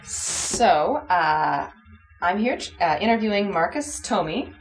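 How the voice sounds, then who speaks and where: A woman on university staff interviewing a transfer student, brisk and saying "okay" often. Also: fairly low pitch, animated, background noise. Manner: brisk, frequent okays, plugs programs. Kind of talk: friendly scripted interview.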